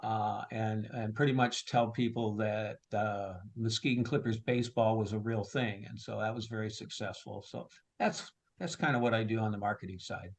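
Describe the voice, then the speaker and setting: A man's voice: on the low side, fairly even intonation, moderate pace, few pauses. A man who works as a sports public address announcer, giving casual, conversational interview answers.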